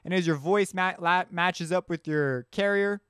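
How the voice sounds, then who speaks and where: Male tutorial instructor, smooth clear voice, informal thinking-aloud delivery, close dry recording. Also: high voice, a little slow, a bit bright, no room sound. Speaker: music production tutorial instructor. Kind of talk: casual explanatory screencast narration.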